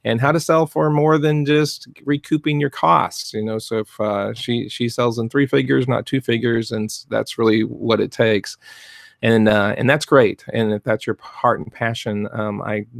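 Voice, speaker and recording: Middle-aged man, soft-spoken coach, gravelly edges, rambling with fillers, dry close desk mic. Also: mid-range voice, steady tone, normal speed, dry recording. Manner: rambling, many fillers and restarts. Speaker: fluid art business coach. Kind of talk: casual live-stream talk. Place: cluttered home art studio, indoors. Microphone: desk mic in front of webcam.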